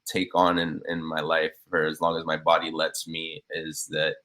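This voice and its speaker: A man's voice: a bass voice, monotone, normal speed, pushed and bright. A man in his thirties from Cuba, raised in Miami, talking earnestly as a guest on a podcast, with many fillers and restarts. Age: 30s. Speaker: guest, business owner. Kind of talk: casual podcast interview.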